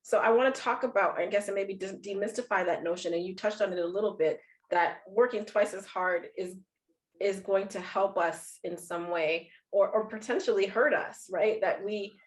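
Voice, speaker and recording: A woman's voice: medium pitch, moderate pace. Woman interview host, reflective and supportive, lays out long questions. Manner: reflective, frames questions at length with supportive affirmations. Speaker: podcast host. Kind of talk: interview conversation.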